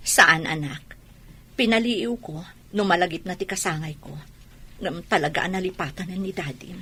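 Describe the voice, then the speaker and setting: Woman acting husky, long-suffering mother. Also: lowish voice, normal speed, bright, forceful tone. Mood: resigned, hurt. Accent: Ilocano Filipino. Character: patient, hurt wife and gentle mother. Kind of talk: Filipino radio comedy-drama acting.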